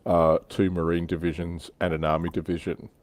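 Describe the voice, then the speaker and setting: A man's voice: deep voice, little pitch movement, normal speed, a little mellow. A man hosting a casual hobby livestream, chatting informally and jokily as he reads out viewer comments. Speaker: livestream host.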